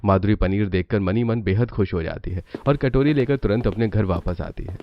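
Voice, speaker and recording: A man's voice: fairly low pitch, fairly quick. A man narrating a scripted cartoon family story as the storyteller. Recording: dull lo-fi narration, faint noise.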